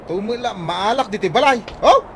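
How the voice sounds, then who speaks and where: Man acting a high-pitched, brash layabout son. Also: animated, moderate pace, noisy background. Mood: defiant. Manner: brash, argumentative. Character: lazy, defiant grown son, a cheeky troublemaker. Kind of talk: Ilocano radio comedy drama acting.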